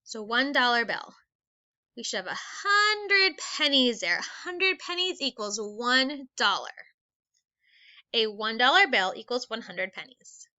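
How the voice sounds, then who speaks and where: A woman teacher with a fairly high, very animated voice reads aloud for young children. She is instructional and takes things step by step. The recording is a slightly dull screencast voice-over. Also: measured pace. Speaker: elementary school teacher.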